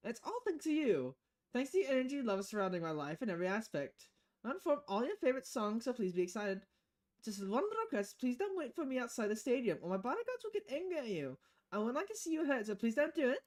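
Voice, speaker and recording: A woman's voice: fairly high pitch, very animated, fast talker, a little mellow. A woman voicing a bubbly, effusive female pop star sweetly addressing her fans in a visual novel game. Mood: sweet, grateful.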